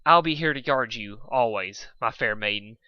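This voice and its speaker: A man's voice: mid-range voice, moderate pace, no room sound, dull audio, natural voice. A man voicing a chivalrous, reassuring stallion suitor in a romantic storybook reading, gallant and tender. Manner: gallant, well-intentioned, tender.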